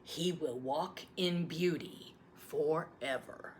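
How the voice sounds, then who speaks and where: A woman voicing a gentle old grandfather who tells a tale slowly, gravely and softly in a storybook read-aloud. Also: low-pitched, slight background noise. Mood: solemn. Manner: slow, grave, hushed storytelling.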